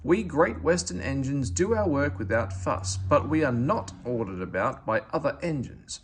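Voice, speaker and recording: A man's voice: medium pitch, expressive, normal speed, a little hiss or hum, pitched above the performer's own voice, close to an everyday voice. A man voicing a polite, self-assured tank engine in a storybook, courteous but firm. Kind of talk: storybook character voice.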